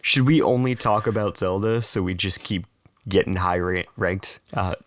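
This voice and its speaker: A man with a raspy voice, chatting casually as a podcast co-host and repeating words as he thinks aloud. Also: low-pitched, moderate pace. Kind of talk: casual chat.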